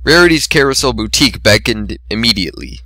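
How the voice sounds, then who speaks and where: Casting: male amateur narrator, dramatic fiction reading, lowish bright voice, dry sound. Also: expressive, quick pace, no echo.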